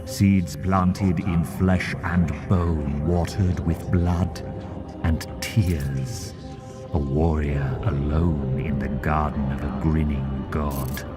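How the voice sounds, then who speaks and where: An actor playing a grim, portentous seer in a sci-fi game, reciting a dark vision with incantatory solemnity. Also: male voice, rumbling low, fairly even intonation, slow pace, over loud music. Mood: ominous. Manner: ominous, incantatory. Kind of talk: slow solemn prophetic recitation.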